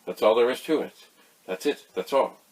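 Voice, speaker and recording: A man giving a casual, folksy explainer, rambling through anecdotes with emphatic pauses. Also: medium pitch, poor audio. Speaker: host of a retro computer tutorial channel.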